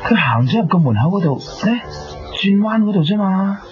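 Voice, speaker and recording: Coy young charmer, Hong Kong Cantonese, teasing, pitch moving a lot, muffled old radio drama recording. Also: male voice, lively intonation, brisk. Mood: coaxing. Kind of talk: 1990s radio drama dialogue. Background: prominent music.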